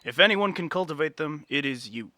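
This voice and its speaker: An actor playing an earnest young political advisor in a fantasy audio drama, speaking formally and with deference. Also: male voice, a little high, animated, bright, forceful tone, no room sound. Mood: sincere, respectful. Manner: formal, measured, deferential archaic phrasing.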